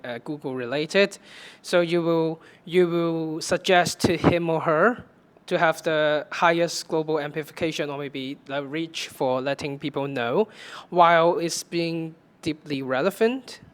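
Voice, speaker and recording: A man's voice: on the high side, clear, resonant tone. A man interviewing a guest at a developer conference, asking long questions with many restarts.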